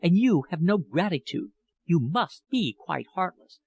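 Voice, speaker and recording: A man's voice: on the high side, very animated, unhurried, creaky, breathy edge, no echo, dull audio, voice pushed up, full character voice. A man reading a novel aloud, voicing a lovesick older woman who pleads through tears. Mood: reproachful. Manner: pleading, tearful.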